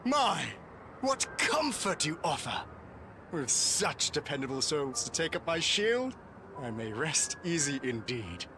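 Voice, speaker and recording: An actor playing a noble, grieving knight in a fantasy game, with formal archaic diction and an emotional, halting delivery. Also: male voice, a little high, big pitch swings, background noise. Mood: grateful, moved. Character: noble, grieving old-fashioned knight, earnest and courtly. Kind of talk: theatrical fantasy game voice acting.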